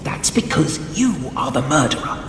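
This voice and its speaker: A man's voice: fairly high pitch, sing-song, normal speed. Hostile male captive, accusing, fantasy game, echoey space with noticeable noise. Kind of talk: fantasy game dialogue acting. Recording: echoey, clear background noise.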